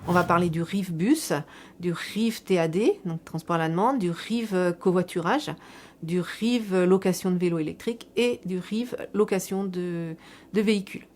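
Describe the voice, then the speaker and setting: Woman explaining in a measured way. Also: on the low side, darkish tone, slight background noise. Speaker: local elected official presenting a public project. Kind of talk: semi-prepared explanatory interview testimony.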